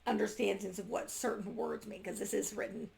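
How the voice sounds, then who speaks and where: A woman's voice: mid-range voice, steady tone, creaky, a little mellow. A woman reviewing a book casually to camera, unscripted, often breaking off and restarting her sentences as she goes. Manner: halting, self-interrupting, trailing off mid-sentence.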